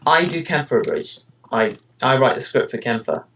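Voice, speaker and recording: A man's voice: medium pitch, sing-song, normal speed, fairly bright tone, slight background noise, low-quality recording. Rambling man in a casual interview. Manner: hesitant, rambling delivery with frequent "you know" and "kind of". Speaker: interviewee, amateur voice actor and web parody creator.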